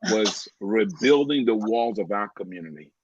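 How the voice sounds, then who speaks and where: A man from Cameroon sharing a personal faith testimony as a podcast guest, animated, stumbling over repeated words and laughing often. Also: on the low side, normal speed, slightly rough, no echo. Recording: dry, slightly dull lo-fi audio.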